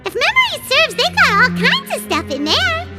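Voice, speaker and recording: A woman's voice: very high-pitched, huge pitch swings, moderate pace, exaggerated voice. A woman voicing a small, chirpy female flying companion who talks about herself in the third person. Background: game music.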